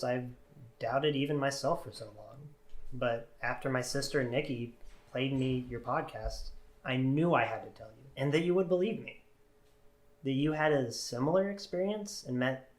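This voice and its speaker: A man's voice: mid-range voice, lightly acted. A man playing an earnest, hesitant guest with an eerie secret in a podcast drama interview.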